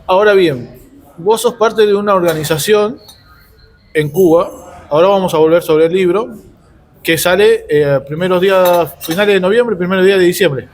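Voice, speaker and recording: A man with an Argentine accent asking informal interview questions, haltingly and with rephrasing. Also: high-pitched.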